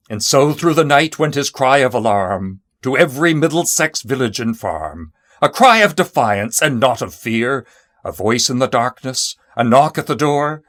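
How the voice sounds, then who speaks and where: Slow-paced man reciting poetry dramatically. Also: medium pitch, a bit bright. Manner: measured, theatrical, storytelling delivery. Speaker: poetry reader.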